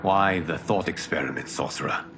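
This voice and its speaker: An actor playing a solemn fire god and protector of a realm in a game, speaking in a measured, formal, grave way. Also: male voice, deep voice, creaky, noisy background. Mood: grave, firm.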